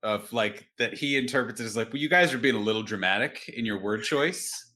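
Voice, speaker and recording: A man's voice: mid-range voice, brisk, bright, forceful tone. A man chatting casually as a player in a tabletop game, with a wry, joking manner. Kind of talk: casual chat.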